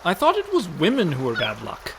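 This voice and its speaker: A man's voice: high-pitched, huge pitch swings, brisk, creaky, noisy background, exaggerated voice. An actor playing an anxious, sarcastic younger brother, a reluctant con man, in a comic radio play. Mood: sarcastic, grumbling. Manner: sarcastic, fretful.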